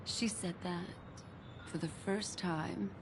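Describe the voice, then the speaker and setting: An actress playing a composed, solemn envoy in a game, speaking slowly and deliberately. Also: female voice, fairly low pitch, steady tone, creaky, noisy background. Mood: reflective, tender. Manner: slow, deliberate. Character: composed, solemn female envoy, calmly reflective. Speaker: game character voice actor. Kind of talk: dramatic scripted game dialogue. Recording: music behind the voice.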